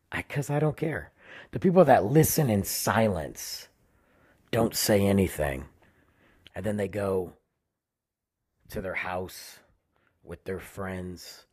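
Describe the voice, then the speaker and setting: A man hosting his own podcast in a casual, rambling way, tired and losing his voice, often trailing low at the ends of phrases. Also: a little mellow, husky. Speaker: podcast host. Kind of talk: casual solo podcast intro.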